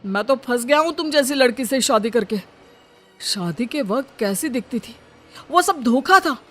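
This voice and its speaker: A woman's voice: high-pitched, expressive, moderate pace, a bit bright, faint music behind, pitched above the performer's own voice. A woman voicing a young husband in a dramatized moral story, by turns loving and harsh. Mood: angry, fed up.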